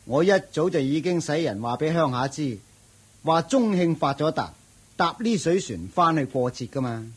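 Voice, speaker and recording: A male actor playing a sly, newly rich man who was once scorned, in a Hong Kong Cantonese radio drama, with a husky voice. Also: a little high, animated, normal speed. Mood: sly, smug. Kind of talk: Buddhist radio drama acting.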